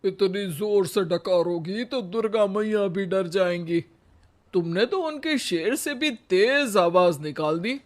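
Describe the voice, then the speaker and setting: Man playing a high-pitched, teasing old husband. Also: animated, slow. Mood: sarcastic. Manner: wry, needling, mock-pleading. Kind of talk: comic animated moral story acting.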